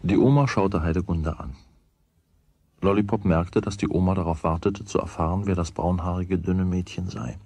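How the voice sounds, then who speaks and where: A man's voice: deep voice, steady tone, moderate pace, dark tone, breathy edge, no echo. A man narrating a children's radio play as its scripted storyteller. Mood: measured.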